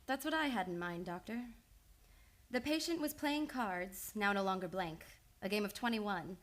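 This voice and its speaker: An actress playing a composed, determined and caring psychoanalyst, earnest and theatrical in an old-time radio play. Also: female voice, medium pitch, expressive, normal speed, a bit bright, natural voice. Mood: probing. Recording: studio radio play with music behind.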